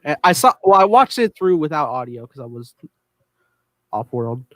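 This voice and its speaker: A man's voice: a little high, very animated, moderate pace, a bit bright, no echo. Casual male podcast host, dry sound. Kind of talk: casual chat.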